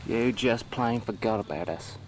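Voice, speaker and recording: A man's voice: on the low side, normal speed, close to an everyday voice. A man playing a bitter, defiant young outcast in an amateur audio drama, folksy and confrontational. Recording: faintly noisy old cassette recording.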